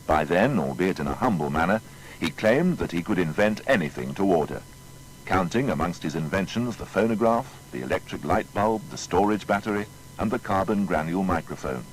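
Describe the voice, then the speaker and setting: A man's voice: sits low, animated, brisk, a little hiss or hum, poor audio. A man narrating a scripted biography in a formal, articulate documentary style.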